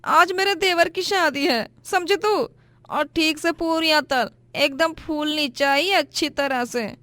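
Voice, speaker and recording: A woman's voice: sits high, unhurried, pushed and bright, no room sound, voice pushed up. A woman voicing a haughty, scornful rich woman who sneers and bosses in a moral story. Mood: scornful, bossy. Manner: sneering, bossy, commanding. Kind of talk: dramatised Hindi moral story.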